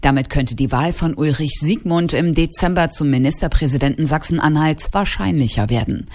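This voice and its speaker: A woman news correspondent reading a steady, factual report from the newsroom. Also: low voice, fairly quick.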